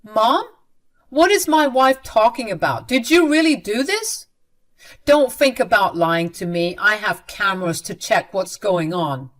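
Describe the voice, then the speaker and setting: A woman's voice: fairly low pitch, expressive, a little slow, hardly any pauses, a bit bright. A woman voicing an angry adult son who speaks in a stern, confrontational way in an audio story. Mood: angry, accusing. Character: angry adult son, firmly defending his wife.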